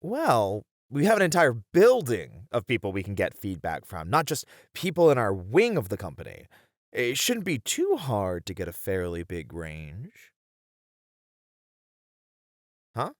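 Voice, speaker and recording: A man playing a hesitant but curious, excitable game developer, speaking casually and expressively in a scripted ASMR horror roleplay. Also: on the low side, moderate pace, no echo, clean studio sound. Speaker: voice actor in an audio roleplay.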